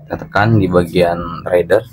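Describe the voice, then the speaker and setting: Low-voiced man giving a tutorial. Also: fairly even intonation, normal speed, a little hiss or hum. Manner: casual, instructional, addressing viewers as friends. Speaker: product reviewer. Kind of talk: hands-on tutorial narration.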